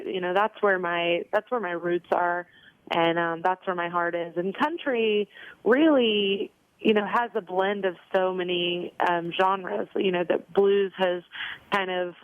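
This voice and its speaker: Young female country singer, casual and talkative, flat delivery, dry thin phone sound. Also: lowish voice, dry recording, muffled recording. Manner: chatty, many ums and you knows. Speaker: country singer, interview guest. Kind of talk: casual interview answers.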